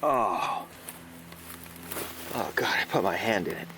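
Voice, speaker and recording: An actor playing a frightened reporter recording himself on a secret night stakeout in a horror audio drama, halting and stammering. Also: male voice, fairly low pitch, animated. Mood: panicked, disgusted. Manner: halting, stammering, gasping exclamations. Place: outdoors on a farm. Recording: noisy outdoor location recording.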